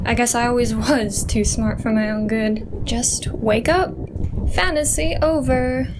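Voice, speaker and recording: A woman's voice: a little high, measured pace. A woman playing a brooding, cerebral survivor in an audio drama, musing alone in an introspective, literary, self-aware way. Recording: clear background noise over voice.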